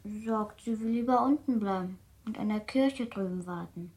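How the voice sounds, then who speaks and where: A female performer playing a shy, obedient little schoolgirl in a radio play, breathy, stilted and reserved. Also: medium pitch, normal speed, darkish tone. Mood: shy, dutiful. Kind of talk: German radio play acting.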